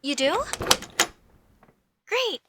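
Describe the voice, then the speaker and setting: A woman playing a curious, outspoken young female elf mage in a comedic audio roleplay, questioning things in a halting, slightly haughty way. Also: very high-pitched, big pitch swings, very noisy, heavily acted. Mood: hesitant, polite.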